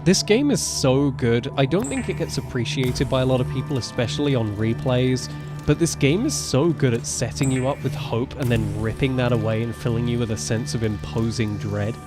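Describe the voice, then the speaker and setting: A man in his twenties with a naturally deep voice, rambling casually as he streams a game live. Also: moderate pace, music in the background. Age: 20s. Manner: chatty, rambling, self-correcting. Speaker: gaming streamer. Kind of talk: casual live gameplay commentary.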